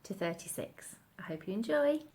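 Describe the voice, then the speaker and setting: A woman reading a children's story aloud in an expressive narrating style. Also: medium pitch, normal speed, heavy background noise. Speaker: storybook reader.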